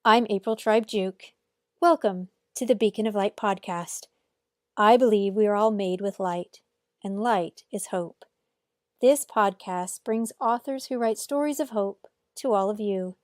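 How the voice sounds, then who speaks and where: A woman reading a podcast's scripted intro in a calm, measured way. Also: mid-range voice, no echo, studio quality. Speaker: podcast host.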